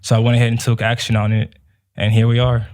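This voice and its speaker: A young man from Nigeria, answering as a guest in a relaxed way with many fillers. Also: medium pitch, fast talker. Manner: relaxed, many "um" and "you know". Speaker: guest, business owner. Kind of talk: casual interview answers.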